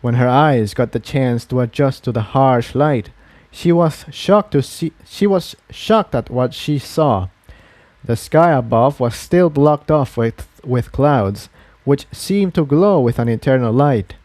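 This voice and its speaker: A man's voice: medium pitch, lively intonation, measured pace, darkish tone, no echo. A man reading a fantasy adventure story aloud as its narrator. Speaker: fan fiction narrator.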